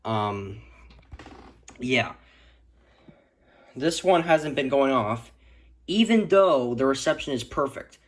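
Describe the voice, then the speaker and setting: A man's voice: medium pitch, expressive, slow pace, pauses often. A man in a casual, unscripted hobby vlog, rambling and stammering as he rants, stretching out words for emphasis. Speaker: hobbyist video creator showing a radio collection.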